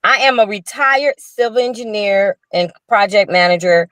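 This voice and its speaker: A woman's voice: medium pitch, moderate pace, fairly bright tone, no echo. An older woman and restaurant owner, a guest telling her story in an earnest, casual way with frequent restarts over a video call. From Chicago. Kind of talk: casual interview talk.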